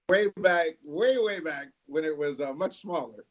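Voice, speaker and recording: A middle-aged man from California, a longtime radio DJ, tells his story in an easygoing, rambling way. His voice sits a little higher than most men's, and he chuckles as he goes. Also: a little slow, dull audio. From Santa Barbara, California. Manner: laid-back, laughing, rambling anecdotes. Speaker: radio DJ guest. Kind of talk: casual interview storytelling.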